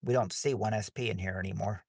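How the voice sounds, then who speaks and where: A man's voice: on the low side, fairly even intonation, quick pace, gravelly, darkish tone, breathy edge, dry recording, studio quality. A man hosting a livestreamed game night, chatting loosely and often restarting his sentences. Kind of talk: casual chat while hosting a game.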